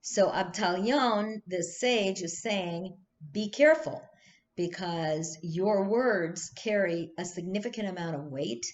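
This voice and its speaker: Slow, thoughtful woman teaching a text. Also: fairly low pitch, dull audio. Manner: slow, thoughtful, with frequent ums and restarts. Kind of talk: online text study lesson.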